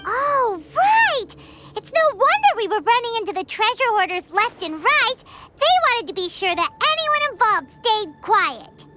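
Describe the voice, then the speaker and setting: A tiny floating sprite girl with a very high, bouncy voice that leaps all over the place. She is chirpy and childlike and refers to herself by name in the third person. Loud background noise sits under the game audio. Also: big pitch swings, a little slow, over loud music, exaggerated voice. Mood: excited. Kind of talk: game cutscene voice acting.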